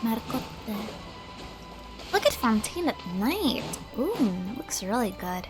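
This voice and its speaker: Young female streamer: fairly high, chatty, rambling casual commentary with game music behind. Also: big pitch swings, slow. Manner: chatty, rambling, musing aloud.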